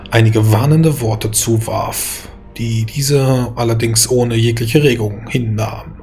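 Solemn male storyteller, very even tone. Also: medium pitch. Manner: measured, solemn. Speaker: storyteller, game master. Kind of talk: scripted dramatic fantasy narration.

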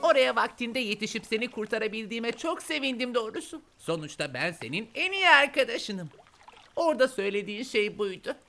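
A woman's voice: a little high, big pitch swings, fairly quick, gravelly, a bit bright, full character voice. A woman voicing a stubborn, touchy little frog in a children's cartoon, with an exaggerated, indignant delivery. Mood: boastful, indignant. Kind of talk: animated children's cartoon dub.

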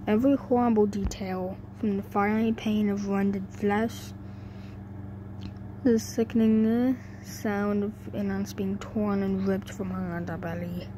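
A woman's voice: mid-range voice, level tone, unhurried. A young woman reading fan fiction aloud at home, stumbling over words as she goes. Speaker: amateur fan fiction reader. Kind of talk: halting story read-aloud. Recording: noisy home recording with hum.

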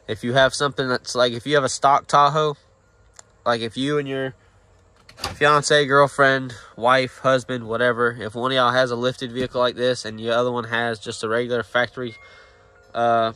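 A man's voice: mid-range voice, bright, forceful tone. A young man talking casually and plainly in an unscripted, rambling way, as a car vlogger filming on a handheld phone. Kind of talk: casual unscripted vehicle walkaround. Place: outdoors in a driveway and at open car door. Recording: outdoor handheld recording, ambient noise.

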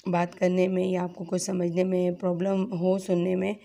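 A woman with a hoarse, sore throat, chatting straight to viewers as she hosts a casual home cooking tutorial, filmed on a phone in her kitchen. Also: lowish voice, monotone, moderate pace, mellow. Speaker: cooking channel host.

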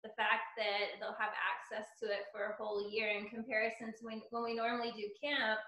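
A woman's voice: a little high, level tone, a little fast, bright, forceful tone. Earnest woman on an echoey call. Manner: earnest, thinks aloud with many "I mean" and "you know". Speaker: dance teacher, guest. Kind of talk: casual video-call interview. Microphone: video call. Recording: echoey room over video call.

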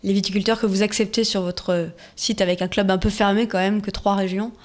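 Animatrice de plateau télé au ton vif, une femme à la voix moyenne. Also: female voice, medium pitch, fairly even intonation, a little fast, darkish tone. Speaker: TV show host. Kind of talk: studio interview. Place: TV studio. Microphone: desk mic.

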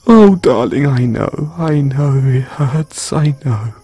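A man performing an intimate comfort roleplay as a gentle boyfriend consoling his grieving partner, speaking in a soothing, tender, hushed way. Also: medium pitch, lively intonation, takes it slowly, slightly rough, soft background music.

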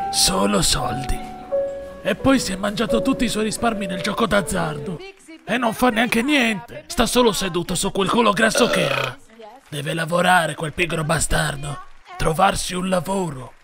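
A man voicing a bitter, world-weary middle-aged cartoon wife who grumbles in a deadpan, creaky way, over music. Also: high voice. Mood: resigned. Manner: grumbling, deadpan, foul-mouthed. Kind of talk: mock-documentary cartoon confession, Italian dub parody.

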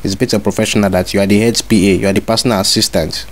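A low-voiced man rattles off demands at a rapid clip over a phone line full of hiss. He plays a fake inspector, bossy and relentless, repeating the same orders again and again. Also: fairly even intonation. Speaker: prank caller posing as a school inspector. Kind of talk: prank phone call.

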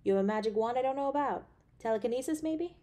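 A woman playing an affectionate, anxious, playfully teasing girlfriend in a romantic audio roleplay, with a tender, expressive delivery. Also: fairly high pitch, fast, close to an everyday voice. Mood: teasing, caring. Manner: tender, expressive, with sighs and soft chuckles.

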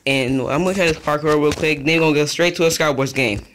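A young man giving casual, rambling commentary over his own gameplay, chatty and easily excited. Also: a little high, fast talker, a little hiss or hum. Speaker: gaming youtuber.

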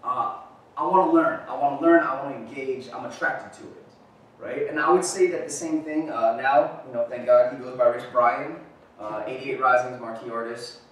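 A man's voice: mid-range voice, normal speed. A man in his thirties giving a casual presentation at a talk event, speaking conversationally and leaning on "right?" and filler words. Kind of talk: lecture presentation. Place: gallery-like room with a screen. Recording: echoey room recorded from far off.

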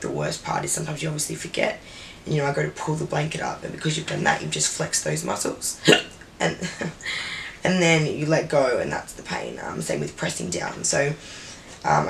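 Drowsy young woman, croaky voice. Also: rumbling low, distant mic, a little hiss or hum. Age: young adult. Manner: drowsy and quiet, trailing off. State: croaky voice after surgery. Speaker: vlogger. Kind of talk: casual recovery vlog to camera. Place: bedroom. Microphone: handheld camera.

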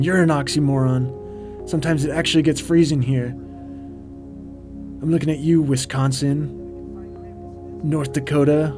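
A man's voice: mid-range voice, close to an everyday voice. Man voicing a pompous ghost host. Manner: pompous, hammy, grows loud and ranting. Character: vain festive male ghost host, boastful. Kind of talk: comedic commentary track. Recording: music playing underneath.

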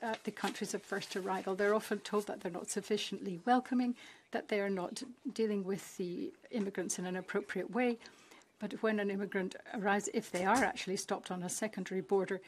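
A woman simultaneously interpreting a parliamentary speech, with a steady, measured delivery and occasional restarts. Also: mid-range voice.

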